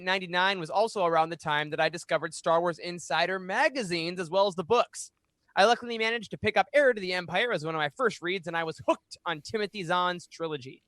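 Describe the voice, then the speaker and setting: A man in his thirties hosting a fan podcast, speaking in an animated, emphatic way into a close microphone. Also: high-pitched, dry recording. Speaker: podcast host. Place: small home room, indoors. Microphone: close studio microphone on boom arm.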